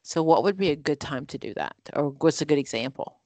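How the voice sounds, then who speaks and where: A woman's voice: low voice, lively intonation, brisk, mellow, no room sound. A woman leading an online exam-prep study group, explaining answers with everyday examples in a conversational way over a video call.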